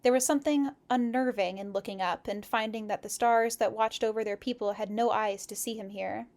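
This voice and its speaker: A woman with a slightly high-pitched voice co-hosts a casual read-aloud. She reads expressively and talks in an informal, chatty style, like sharing a story with a friend. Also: fairly even intonation, fairly quick, darkish tone. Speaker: co-host of a fan fiction read-aloud stream. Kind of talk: expressive story reading mixed with casual chat.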